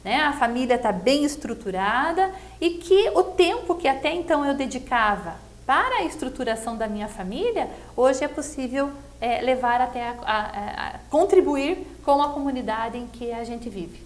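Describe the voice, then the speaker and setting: A woman's voice: sits high, lively intonation, moderate pace, few pauses. A middle-aged Brazilian woman giving a conversational political interview, explaining herself earnestly and often checking with tag questions. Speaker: political pre-candidate being interviewed.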